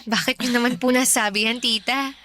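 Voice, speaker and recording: A woman's voice: a little high, steady tone, brisk, bright, forceful tone. A woman playing a polite, cheerful young student in a Tagalog radio drama. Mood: polite, earnest.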